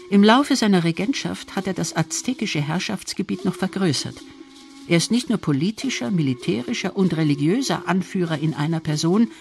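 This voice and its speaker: Male documentary narrator, high register, scripted read, soft music bed.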